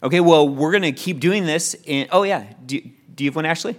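A man's voice: a little high, a little fast. A man leading a church Bible study class, reading scripture aloud and inviting people to share, often repeating words as he restarts.

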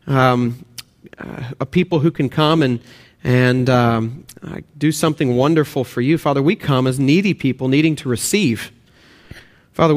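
A young man with a Texan accent, preaching in a church with a fairly high voice. He speaks earnestly as a student pastor and leans into his key points. Small "uh" fillers break up his phrases. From Texas and Oklahoma. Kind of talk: sermon with prayer. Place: church sanctuary.

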